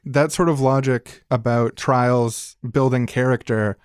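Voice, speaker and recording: A man's voice: medium pitch, steady tone, measured pace, darkish tone, clean tone, no echo, clean studio sound. A man co-hosting a podcast, discussing ideas casually and analytically in an informal, wry way. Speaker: podcast co-host.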